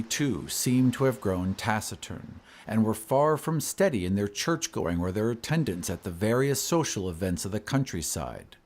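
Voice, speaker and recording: A man reading a classic horror story as scripted narration, in a measured, literary storytelling manner. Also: medium pitch, darkish tone. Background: ambient sound effects.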